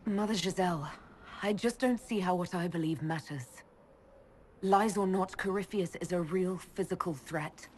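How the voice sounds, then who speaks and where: An actress playing a skeptical, weary young elf heroine in a fantasy video game, speaking in a pragmatic, matter-of-fact way. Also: female voice, on the low side, normal speed, a little mellow, a little hiss or hum, close to an everyday voice. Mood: skeptical, grave. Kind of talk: dramatic video game dialogue.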